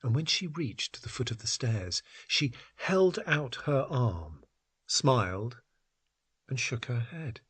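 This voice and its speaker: A man reads literary fiction aloud with a husky, breathy voice of medium pitch. His storytelling is deliberate and dramatic, able to move between hush and urgency. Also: very animated. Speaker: audio story narrator.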